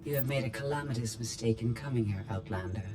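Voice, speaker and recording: A woman's voice: very deep voice, quick pace, over music. A woman voicing a cold, superior robot intelligence in a game cutscene, formal and condescending, with synthetic processing. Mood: haughty. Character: cold, superior female droid intelligence, haughty and precise. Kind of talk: video game cutscene acting.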